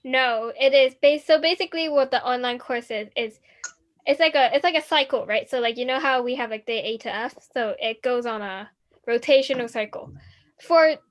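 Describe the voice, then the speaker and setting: A woman's voice: sits high, normal speed. A teenage girl from Hong Kong co-hosting a student podcast, chatting casually with many restarts. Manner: rambling, many restarts and fillers. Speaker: podcast co-host.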